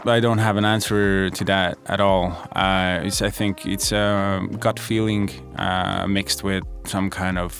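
A man's voice: low voice, flat delivery, a little slow, hardly any pauses, a bit bright. A man from Estonia, a music producer, answering interview questions in a thoughtful, hesitant way with many fillers. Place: podcast studio.

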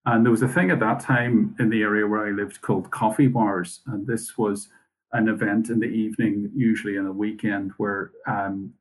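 A man from Northern Ireland with a Northern Irish accent, a guest telling his story in a measured, matter-of-fact way over a video call. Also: on the low side, little pitch movement. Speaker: mission worker, guest. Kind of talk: conversational interview answers.

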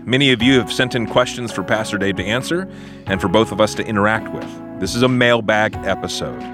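A man's voice: fairly low pitch, brisk, over music. A man speaking as a podcast host and pastor, in a casual, conversational way.

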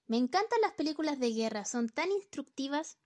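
A woman's voice: sits high, expressive, fairly quick, a little mellow. A woman in a student radio play acting a distracted, teasing housewife, with an exaggerated, sing-song delivery. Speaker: radio drama actor.

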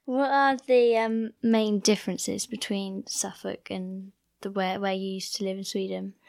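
Slow-spoken female interviewer. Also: medium pitch, level tone, creaky, a little mellow. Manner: brief agreeing replies, hesitant questions. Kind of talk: interview questions.

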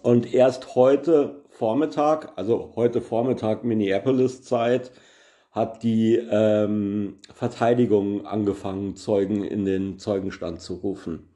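A man's voice: on the low side, steady tone, slow, dark tone. A man hosting a solo podcast, explaining a news topic carefully with many hesitations and filler sounds. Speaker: podcast host.

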